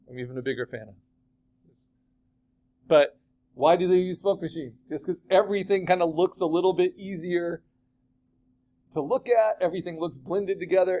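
Guy teaching a photoshop class, kinda high voice, chatty, keeps asking the room stuff. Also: a little mellow. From California. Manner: chatty, asks the class questions. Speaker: art instructor. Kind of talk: classroom software demo.

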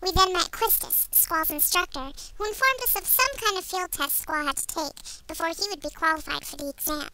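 Very high, squeaky young-sounding woman. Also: brisk, background noise. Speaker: amateur fandub voice actor. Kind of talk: playful voice test and recap narration.

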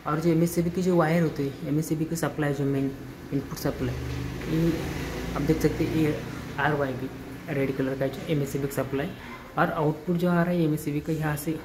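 A man explaining an installation in a casual walkthrough, halting and repeating words, filmed on a handheld phone on a rooftop and indoors. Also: on the high side, level tone, noisy background. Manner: halting, repeats words and restarts while pointing things out. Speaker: electrician showing an installation. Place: rooftop outdoors, then indoor stairwell and wall. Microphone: handheld phone camera, filming himself off-frame.

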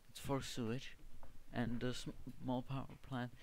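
Slow-talking Czech man with a strong accent. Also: mid-range voice, flat delivery, darkish tone, a little hiss or hum. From Czech Republic. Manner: hesitant, restarts words, searches for terms. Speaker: gaming video creator. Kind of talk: casual gameplay commentary. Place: home setup with gaming PC.

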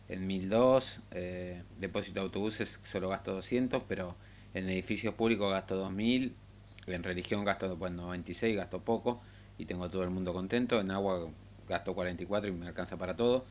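A man giving a casual, unscripted game tutorial in a halting, rambling way. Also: lowish voice. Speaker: gaming tutorial host. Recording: clear background noise and hum.

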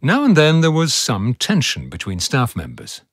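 A man's voice: mid-range voice, huge pitch swings, normal speed, fairly bright tone, husky, dry recording, clean studio sound. A man giving scripted narration for a museum audio play, as a storytelling narrator. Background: faint music.

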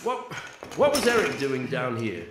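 A man acting in a radio play as a jealous, fatalistic geologist, husky-voiced. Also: medium pitch, wildly swooping pitch, unhurried, noisy background. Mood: unsettled. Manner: accusing, brooding, resentful. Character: bitter, jealous, fatalistic young male geologist, betrayed husband.

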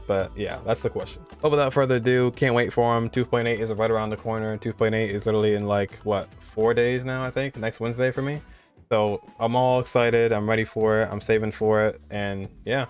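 Young guy reacting to game stuff, lowish voice, chill and chatty, faint music behind. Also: steady tone, brisk. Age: 20s. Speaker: host of a game reaction video. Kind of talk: casual reaction commentary.

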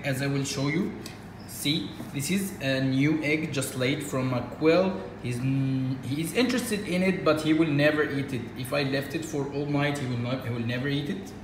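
Egyptian man, halting, in an echoey room. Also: medium pitch, slight background noise. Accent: Egyptian Arabic. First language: Arabic. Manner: halting, with frequent fillers and restarts. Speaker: reptile keeper. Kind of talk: casual how-to demonstration. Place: indoors at a table.

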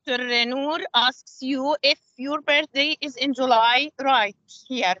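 Slow, high-voiced middle-aged woman on a video call. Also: steady tone, sharp tone, no room sound. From Palestine. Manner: halting, many fillers, slow careful reading. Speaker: webinar host. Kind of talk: reading out chat answers in a webinar. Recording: dull lo-fi video call audio.

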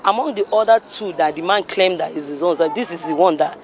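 Middle-aged Nigerian woman, earnest vox-pop answer, outdoors with loud traffic noise. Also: fairly low pitch, brisk. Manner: earnest, telling a story with stops and restarts. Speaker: interviewee. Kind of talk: street interview answer. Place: busy city street outdoors. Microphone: handheld mic held by interviewer.

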